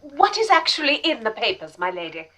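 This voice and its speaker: A devoted female assistant to a lady detective played by an actress in a period radio mystery. Her voice is fairly high, with an earnest, expressive and deferential delivery. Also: brisk, heavily acted. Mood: eager.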